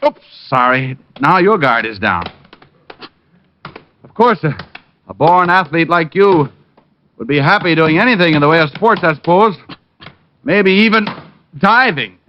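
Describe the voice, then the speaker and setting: An actor playing a shrewd, sardonic private detective with an American accent in a hard-boiled old radio drama. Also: male voice, on the high side, animated, a little slow, pauses often, a little hiss or hum. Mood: needling. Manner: sardonic, teasing. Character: wry, shrewd, needling male private detective. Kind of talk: hard-boiled first-person narration, 1940s-50s radio acting. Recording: muffled old radio recording with music.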